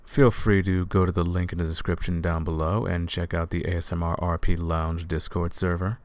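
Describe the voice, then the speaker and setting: A man's voice: low voice, level tone, moderate pace. A man hosting his own audio channel, talking casually to his listeners in an outro. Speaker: host, voice actor of an ASMR channel.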